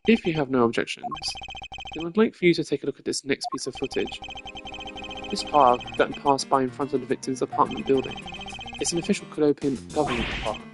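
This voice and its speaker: A man voicing a composed, determined prosecutor from a video game, speaking formally and in measured phrases. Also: mid-range voice, music in the background, close to an everyday voice. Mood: confident, explanatory. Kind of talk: video game dialogue acting.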